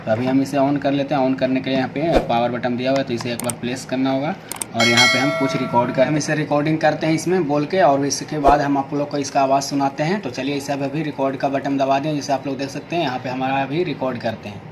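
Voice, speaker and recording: A man's voice: mid-range voice, steady tone, fast talker. An Indian man hosting an informal product unboxing, explaining things step by step in a repetitive way. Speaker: product reviewer and video host. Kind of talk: unboxing demonstration narration. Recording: clearly noisy amateur recording.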